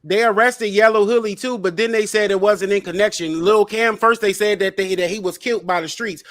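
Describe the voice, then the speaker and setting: A man's voice: sits high, steady tone, a little fast, a bit bright, dry recording, clean studio sound. A man on a casual discussion panel, talking in an opinionated and emphatic way. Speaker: talk show panelist.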